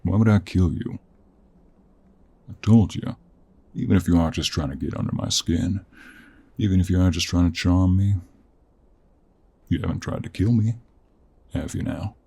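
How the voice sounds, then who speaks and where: A man playing a gruff, teasing cowboy monster hunter in an intimate audio roleplay, with a gravelly, husky voice and an American Western drawl. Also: low voice, warm tone, exaggerated voice. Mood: stern. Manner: slow, teasing drawl with folksy endearments.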